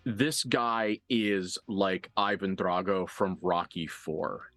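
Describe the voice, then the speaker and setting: Low-voiced man narrating a tabletop game. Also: steady tone, a bit bright, dry recording, faint music behind. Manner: halting, conversational, graphic blow-by-blow description. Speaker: game master.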